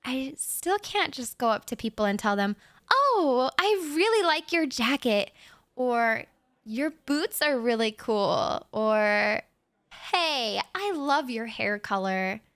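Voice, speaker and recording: A young woman in a shy, rambling audio roleplay monologue, talking softly straight to the listener with nervous little laughs. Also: a little high, sing-song, slow pace, no room sound.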